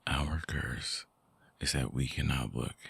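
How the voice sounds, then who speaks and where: A man playing a lovelorn, seductive vampire ex in an intimate ASMR audio drama, speaking softly and haltingly with a very deep, gravelly, husky voice. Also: no room sound, heavily acted. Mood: soft, alluring. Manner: slow, halting, softly explanatory.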